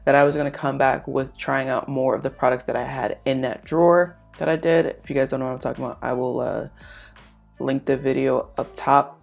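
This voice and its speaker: A woman's voice: extremely low pitch, gravelly. A young woman with a stuffed-up, congested voice, chatting casually through a makeup tutorial at home. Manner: chatty, relaxed, lots of fillers. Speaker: beauty vlogger.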